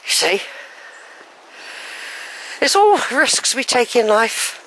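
A woman's voice: fairly high pitch, big pitch swings, takes it slowly, fairly bright tone, slight background noise, low-quality recording. An older woman, chatting and thinking aloud as she films a woodland walk, a little wheezy. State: a bit wheezy. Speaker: walking vlogger. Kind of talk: casual walking commentary. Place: wooded track outdoors. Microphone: handheld camera while walking.

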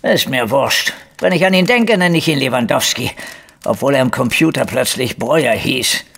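A man playing a weary, evasive police investigator in a crime radio play, gruff and blunt, with a husky, gravelly voice. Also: medium pitch, expressive, moderate pace, a bit bright, full character voice. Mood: gruff, warning. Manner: blunt, hesitant, throat-clearing. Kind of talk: German crime audio drama dialogue.

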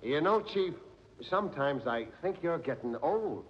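An actor playing a slangy, dim-witted police sidekick in a 1940s radio mystery, flippant and quick to protest. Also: male voice, on the high side, expressive. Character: cheeky, dim-witted male cop sidekick, wisecracking. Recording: muffled 1940s radio recording, faint noise.

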